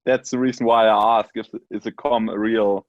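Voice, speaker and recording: A man's voice: fairly low pitch, level tone, normal speed, no echo, lo-fi sound. A man, interviewing a fellow athlete in a friendly, casual way. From Germany. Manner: friendly, brief interjections, laughs along. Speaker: interviewer, former world champion.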